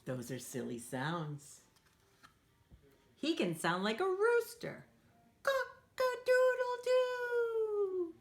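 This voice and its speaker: A woman's voice: extremely high pitch, drawn-out words, long pauses, faint music behind. A woman hosting a read-aloud storytime for young children, speaking in a playful, encouraging way. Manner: animated, encouraging, asks listeners to join in; playful, acts out sound effects.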